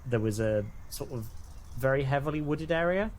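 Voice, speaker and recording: British male player in a tabletop game, middling pitch, casual and hesitant, faint noise behind him. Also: expressive, moderate pace. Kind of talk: casual improvised role-play chat.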